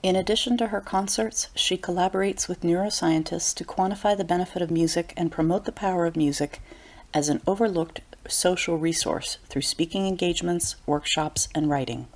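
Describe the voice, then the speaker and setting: A woman with a lowish voice hosts an interview, reading a formal introduction of her guest before moving on to questions. She speaks in a measured, encouraging way. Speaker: interview host.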